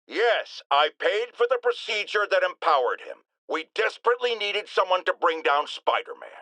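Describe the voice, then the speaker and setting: A man's voice: high-pitched, sing-song, moderate pace, pushed and bright, no room sound, heavily acted, low-quality recording. An actor playing a blustering, indignant middle-aged man who hosts an opinion radio show in a video game, pompous and theatrical. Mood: defensive. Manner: pompous, theatrical, emphatic delivery. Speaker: talk radio host. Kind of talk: bombastic opinion radio monologue.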